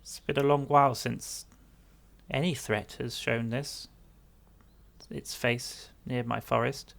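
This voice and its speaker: A man voicing a grave, formal fae guardian of a forest, speaking in a measured, earnest way. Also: medium pitch, steady tone, gravelly, fairly bright tone. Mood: grave, worried.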